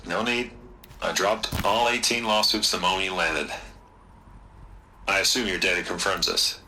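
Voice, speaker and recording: An actor playing a slick, evasive tech tycoon in a game cutscene, talking in a smooth, placating and defensive way. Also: male voice, sits low, steady tone, normal speed, pushed and bright, slight background noise, heavily acted, low-quality recording. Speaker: company head.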